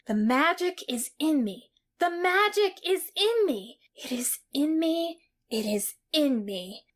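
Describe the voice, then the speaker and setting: A woman's voice: high voice, a bit bright, exaggerated voice. A woman playing an imperious young boy. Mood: chanting. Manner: imperious, earnest chanting. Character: sickly boy growing strong, proud and commanding. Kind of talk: amateur audio drama.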